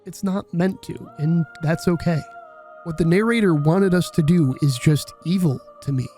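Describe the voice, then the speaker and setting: A young man in his twenties narrates a video essay in an earnest, contemplative voice-over. His voice sits a little high for a man, with a mellow, dark tone. Faint music plays underneath. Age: 20s. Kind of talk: reflective scripted narration.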